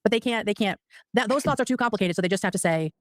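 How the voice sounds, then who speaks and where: A woman's voice: medium pitch, rapid-fire, no room sound. A woman co-hosting a political livestream, talking bluntly and casually with some swearing. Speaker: livestream co-host. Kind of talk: casual chat.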